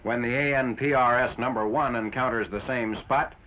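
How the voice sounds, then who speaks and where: A man reading a scripted 1940s military newsreel narration in a formal, factual, measured manner. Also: medium pitch, steady tone, pushed and bright. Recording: dull, noisy 1940s film soundtrack.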